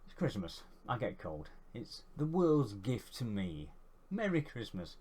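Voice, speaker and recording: Brit guy w a cold, bunged up, lower voice, chatty how-to vlog with a bit of self-mockery. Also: sing-song, measured pace, a little mellow, breathy edge. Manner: rambling, chatty, self-deprecating. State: congested with a cold. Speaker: YouTuber.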